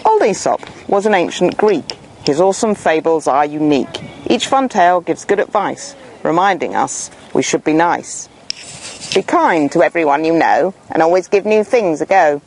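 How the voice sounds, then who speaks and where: A woman reads a rhyming children's tale with a very animated, theatrical delivery. Her pitch moves freely as she performs each line. There is some steady background noise in the recording. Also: normal speed, rough recording. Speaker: children's author reading aloud. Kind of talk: rhyming storybook read-aloud for children.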